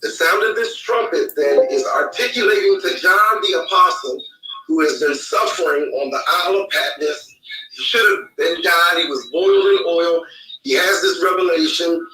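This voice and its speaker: A man's voice: on the high side, normal speed, bright, forceful tone, faint music behind. A man speaking as a guest preacher, teaching through scripture with rhetorical questions. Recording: echoey remote audio from far off.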